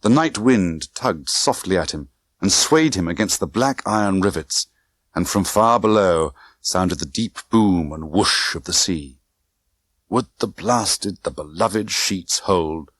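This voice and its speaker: Male narrator for spy thriller audiobook: low register, highly animated range, dry close sound, suspenseful clipped phrasing. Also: measured pace, dry recording. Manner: tense, theatrical delivery with clipped short phrases. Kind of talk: dramatic scripted narration of a spy thriller novel.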